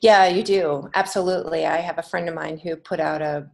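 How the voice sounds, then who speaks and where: A woman's voice: deep voice, fairly even intonation, brisk, creaky, a little mellow. An American woman giving casual, encouraging answers as an author being interviewed on a podcast. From United States. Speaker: podcast guest, children's book author and creative writing teacher.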